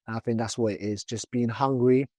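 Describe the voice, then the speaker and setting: A man's voice: medium pitch, steady tone, fairly quick, warm tone, dry recording, clean studio sound. A man in his thirties whose first language is Twi, talking loosely in an interview as a footballer guest with many fillers. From born in England, raised in Ghana. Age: 30s. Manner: chatty, loose, many "you know" fillers and restarts. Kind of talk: casual interview.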